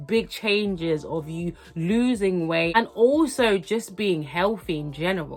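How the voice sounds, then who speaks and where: A young woman, hoarse from a cold, talking earnestly and encouragingly to her viewers in a motivational vlog. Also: mid-range voice. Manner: earnest, encouraging, repetitive emphasis. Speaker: lifestyle vlogger. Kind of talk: motivational talk to camera.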